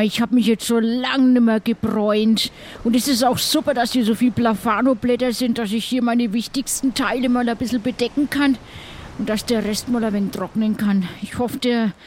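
A man's voice: extremely high pitch, a little hiss or hum. A man playing a cheeky, rambling pirate with a southern German accent in an improvised audio play. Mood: carefree, chatty. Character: cheeky, chatty male pirate, easily indignant.

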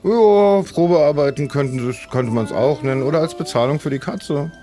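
A man's voice: on the high side, normal speed, warm tone, soft background music, lightly acted. A man playing a polite, practical, slightly scatterbrained pirate in an improvised audio drama, casual in manner.

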